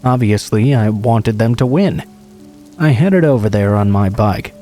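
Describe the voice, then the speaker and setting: A man reading true scary stories aloud as a scripted narration, in a steady, low-key delivery. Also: measured pace, faint music behind. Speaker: horror story narrator.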